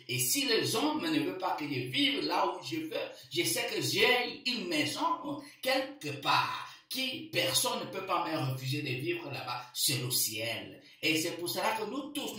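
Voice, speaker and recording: A man preaching a Sunday homily as a priest, slowly repeating key phrases for emphasis. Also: high voice, bright, forceful tone, very echoey, recorded from a distance. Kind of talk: sermon.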